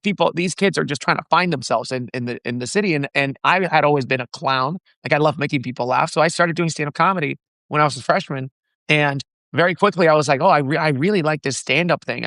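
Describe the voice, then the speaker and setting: A young man from the Dominican Republic, a founder being interviewed, talking in an animated way with frequent laughs and restarts. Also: fairly high pitch, no room sound. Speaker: startup founder, guest. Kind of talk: casual interview chat.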